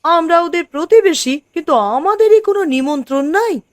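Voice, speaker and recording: A woman's voice: extremely high pitch, lively intonation, normal speed, no room sound, studio quality. A woman playing a jealous, complaining middle-aged village mother in a cartoon, grumbling expressively. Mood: envious, aggrieved. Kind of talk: cartoon dubbing.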